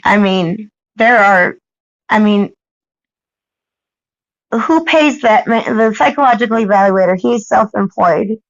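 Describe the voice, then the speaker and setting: An American woman pressing her point on a recorded phone call, insistent and halting, often repeating herself. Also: mid-range voice, lively intonation, dry recording. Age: adult. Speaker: parent questioning a caseworker. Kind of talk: argumentative phone conversation.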